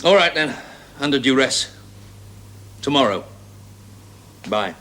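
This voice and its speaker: An actor playing a domineering, volatile research chief with a British accent, forceful and impatient, in a 1970s television drama. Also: male voice, mid-range voice, expressive, slow. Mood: irritated. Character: domineering, volatile male head of a research team. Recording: old TV soundtrack, faint noise.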